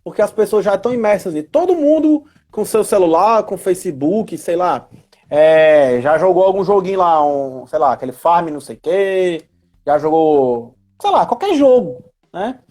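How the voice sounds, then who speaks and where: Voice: mid-range voice, very animated, normal speed, a little mellow. A Brazilian speaker explaining things as a guest expert in a casual live-stream discussion, in an emphatic, didactic way that draws out key words. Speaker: guest expert on role-playing games in education.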